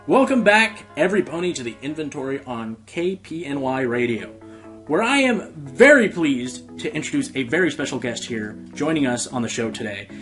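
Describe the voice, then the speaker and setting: A man playing a genial, courteous, excitable pony hosting a radio talk show in a radio play. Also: fairly high pitch.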